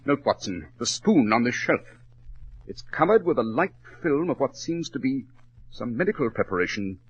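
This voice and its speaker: An actor playing a keen, superior gentleman detective in 1940s radio drama, brisk and precise in manner. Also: medium pitch, very animated, slightly rough. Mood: keen, deductive. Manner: incisive, dismissive, precise. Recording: muffled 1940s radio recording, faint noise.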